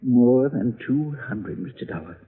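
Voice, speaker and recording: A frail, fussy old man who frets over his orchids and stammers through his sentences. His voice is husky, and it comes through a thin, tinny old radio broadcast. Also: mid-range voice, animated, unhurried. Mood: fretful. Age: older. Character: frail elderly male orchid grower, nervous. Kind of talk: 1950s radio drama acting.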